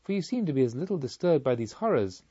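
A man's voice: medium pitch, sing-song, fast talker, warm tone, husky, muffled recording. A man voicing a restless, yearning male pilgrim seeker in an audiobook, earnest and pleading. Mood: grateful, admiring.

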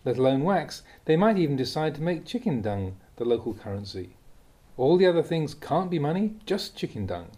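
A man, a monk, reading aloud a Buddhist teaching in a calm, deliberate way. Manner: calm, deliberate reading with lively pitch on key words. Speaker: monk reading Dhamma talks.

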